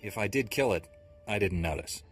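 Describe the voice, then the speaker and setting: Jedi Knight hero, very deep voice, earnest, stoic, measured, video game cutscene, faint music. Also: male voice, lively intonation. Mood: questioning.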